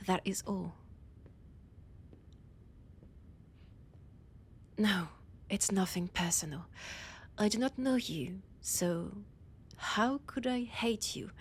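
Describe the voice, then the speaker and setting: A woman with a French accent playing an annoyed, condescending vampire scholar in a roleplay audio drama, often dropping into breathy whispers. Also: mid-range voice, slow, a little hiss or hum, natural voice. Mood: condescending, exasperated. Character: annoyed, haughty female vampire scholar, ancient and hungry.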